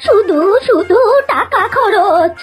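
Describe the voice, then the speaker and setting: High-pitched grumbling old grandmother. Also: animated, soft background music, exaggerated voice. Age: older. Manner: scolding, dismissive, drawn-out exclamations. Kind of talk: Bengali children's cartoon acting.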